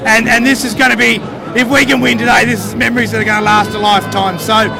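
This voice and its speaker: A croaky Australian man, gravelly and high-pitched, hosting a live fan show outdoors. He talks with rambling enthusiasm, often repeating words. Music and a loud crowd fill the background. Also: flat delivery, quick pace. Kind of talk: excited live fan interviews. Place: outdoors at a crowded pre-game bar. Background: crowd noise and music.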